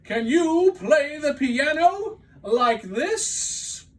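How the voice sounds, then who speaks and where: A man voicing an excitable, vain and anxious storybook toad, exclaiming and pleading in drawn-out cries. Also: very high voice, distant mic, pitched above the performer's own voice, full character voice. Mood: boastful. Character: excitable, vain, anxious male toad, showing off then panicking.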